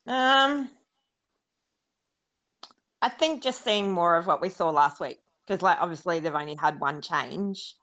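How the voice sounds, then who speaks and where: A woman's voice: on the low side, unhurried, long pauses, a bit bright. A woman co-hosting a podcast, rambling through her thoughts with lots of "you know" and "like". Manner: rambling, many fillers. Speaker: podcast co-host. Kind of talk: casual podcast chat. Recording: dull lo-fi sound.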